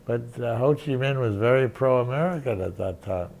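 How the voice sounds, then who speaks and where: An older man, a veteran journalist, recounting memories in an interview, halting and restarting often. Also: medium pitch, vocal fry, darkish tone. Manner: halting, many restarts and fillers. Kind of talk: reminiscing interview.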